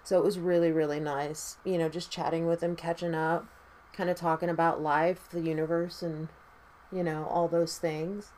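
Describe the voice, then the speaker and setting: A woman with a raspy voice doing her makeup and chatting casually, tired, rambling and swearing freely. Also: on the low side, flat delivery, moderate pace, darkish tone, slight background noise. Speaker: makeup vlogger.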